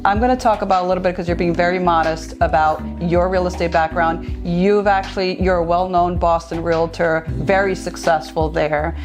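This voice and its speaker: A woman hosting an interview who greets warmly and talks with lively emphasis. Her voice sits a little low for a woman. Music plays steadily under the conversation. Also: moderate pace, hardly any pauses. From New Jersey. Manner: upbeat, emphatic, with rising greetings. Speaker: podcast host.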